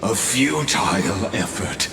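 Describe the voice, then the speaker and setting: A coldly philosophical game sage, stately and grandiose; reverberant hall sound with music underneath. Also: male voice, on the low side, very animated, heavily acted. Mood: grave, scornful. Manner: slow, deliberate, stately delivery. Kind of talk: theatrical video game voice acting. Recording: echoey long reverb under loud music.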